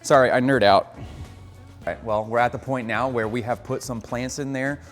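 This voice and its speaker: An American man of ordinary pitch talks through a build in an upbeat, coaching way, explaining each step as he goes. His voice trails into a long reverb, with soft music underneath. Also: fairly even intonation, moderate pace. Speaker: aquarium hobbyist host. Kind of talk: casual instructional vlog.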